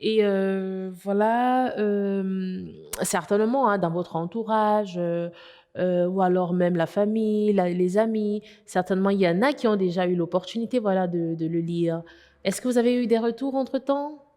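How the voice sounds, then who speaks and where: A woman's voice: medium pitch, normal speed, dark tone. Tv host lady, rambly questions, lots of um and voilà, echoey room. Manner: rambling questions with many fillers. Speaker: interviewer host. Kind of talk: TV interview.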